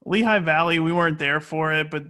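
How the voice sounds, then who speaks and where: A man's voice: fairly high pitch, a little fast, bright, forceful tone. A man speaking as an announcer being interviewed, answering casually and hesitantly as he thinks aloud. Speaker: sports play-by-play announcer, interview guest.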